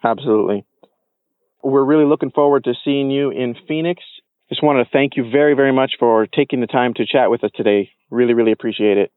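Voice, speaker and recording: A man's voice: mid-range voice, fairly quick, clear, resonant tone, dry recording, phone-quality sound. A man hosting an interview, politely putting prepared questions to his guest. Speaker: interviewer, show host.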